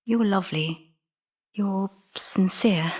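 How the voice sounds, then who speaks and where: An actress in an audio drama playing a flirtatious, admiring female librarian of about forty, tender and flattering. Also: mid-range voice, slow pace, breathy edge. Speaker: actor.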